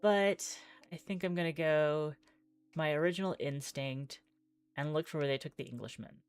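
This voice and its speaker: A woman's voice: low-pitched, normal speed, clean tone, no room sound. A woman streaming a visual novel, reading the game text aloud with theatrical flair and chatty asides.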